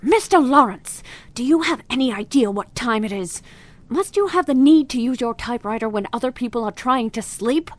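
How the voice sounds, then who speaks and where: A woman's voice: fairly high pitch, lively intonation, normal speed, natural voice. A woman playing a cold, haughty bereaved mother in a radio play, formal and stern, with music behind her. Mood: annoyed.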